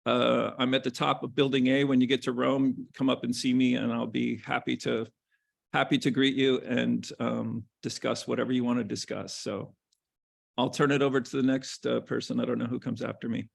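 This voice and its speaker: A man speaking as a university president, welcoming admitted students in a relaxed, halting way with many ums, over a video call. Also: mid-range voice, gravelly.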